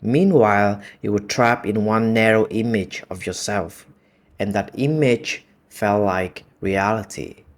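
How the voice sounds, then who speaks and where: A man with a Vietnamese accent whose native language is Vietnamese, talking in a calm, measured, reflective monologue. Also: on the low side. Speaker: video essay host.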